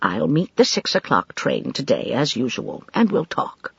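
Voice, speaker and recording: A woman reading an audiobook, voicing a calm, sensible older wife who speaks firmly and reasonably. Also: very deep voice, unhurried, gravelly, breathy edge, dry recording, full character voice. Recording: dry, slightly dull studio recording.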